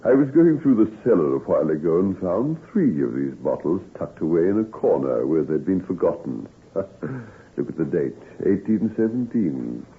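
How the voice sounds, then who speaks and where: A genial old gentleman in an old radio play, extremely low and gravelly. His talk swings up and down with hearty, old-fashioned relish. The recording is tinny and narrow. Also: very animated, normal speed, full character voice. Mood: jovial. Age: older. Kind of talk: old-time radio drama acting.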